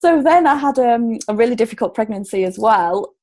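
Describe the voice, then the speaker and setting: A woman's voice: fairly high pitch, lively intonation, brisk, a little mellow. A woman in her thirties from Hull with a Yorkshire accent, chatting casually as an interview guest and laughing often. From Hull, Yorkshire, England. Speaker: guest, relocation coach.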